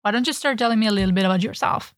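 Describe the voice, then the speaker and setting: A woman hosting an interview podcast, reading a scripted intro and asking questions in a measured way. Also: mid-range voice, no room sound, clean studio sound. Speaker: podcast host.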